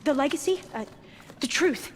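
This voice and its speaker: An actress playing a formal, wary female diviner of a proud tribe in a video game, solemn and hesitant, with archaic phrasing and a fairly high voice. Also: lively intonation, normal speed, a little hiss or hum. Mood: reverent, hesitant. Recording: echoing hall, faint background ambience.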